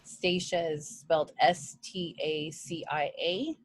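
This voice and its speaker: A woman from Hawaii with a low voice talks as a guest in a relaxed interview. She is earnest and reflective. Her video call audio sounds dry, with no room echo. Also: slow pace. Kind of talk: casual interview chat.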